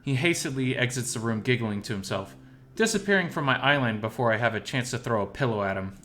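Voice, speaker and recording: A man's voice: medium pitch, expressive, fast, fairly bright tone, a little hiss or hum. A man reading scripted first-person story narration as the narrator.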